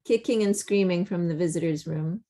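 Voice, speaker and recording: A woman with a somewhat low voice narrates a play script. She reads out stage directions and scene headings over a video call. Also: moderate pace, warm tone, slight background noise.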